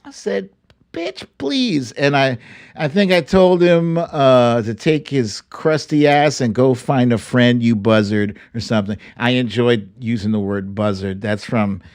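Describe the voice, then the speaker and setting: A man's voice: mid-range voice, unhurried, a little mellow. A man from Brooklyn hosting a livestream talk show, chatting informally and slangily straight to his viewers. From Brooklyn, New York. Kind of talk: casual livestream chat with viewers.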